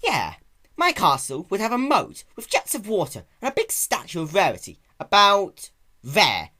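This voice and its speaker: A man's voice: high-pitched, huge pitch swings, normal speed, sharp tone, voice pushed up, heavily acted. A man voicing a stubborn, boastful young male dragon in a dramatic reading of a cartoon fan story. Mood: smug, dreamy.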